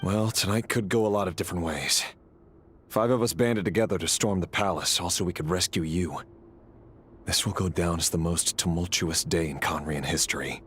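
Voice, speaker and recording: An actor playing a grim, sardonic knight and conspirator in a video game, speaking in a measured, formal, dryly menacing way. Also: male voice, low voice, a bit bright, slight background noise. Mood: stern, guarded. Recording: game dialogue with music behind.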